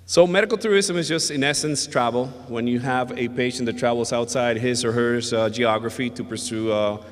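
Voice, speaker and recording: A man from the Dominican Republic giving a conference presentation, speaking in a measured, hesitant way with many filler sounds. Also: medium pitch. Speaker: invited conference speaker, emergency physician. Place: hotel ballroom at a summit. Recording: long reverb of a large ballroom.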